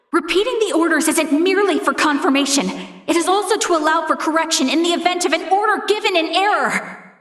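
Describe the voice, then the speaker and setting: A young female starship first officer for a sci-fi video game. Her voice is high and studio-dry, with precise, formal diction. She comes across as earnest and principled, every sentence carefully put. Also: fairly bright tone, no echo. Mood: urgent, protesting.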